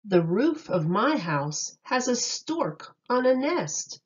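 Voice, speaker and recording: A woman reading a rhyming picture book aloud in an expressive, sing-song way meant for young children. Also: on the high side, slow pace, muffled recording.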